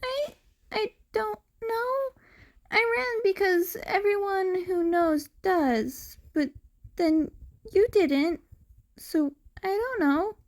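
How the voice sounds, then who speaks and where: Woman voicing a high, timid little cat boy. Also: slow pace, full character voice. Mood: unsure, wary. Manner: hesitant, stammering. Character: timid, innocent young cat-hybrid boy, tearful and hesitant.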